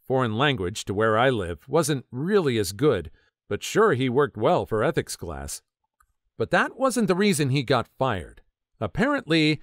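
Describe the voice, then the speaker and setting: A man narrating online stories in a scripted reading style, with an expressive, lively delivery. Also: medium pitch, no echo, clean studio sound. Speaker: story narrator.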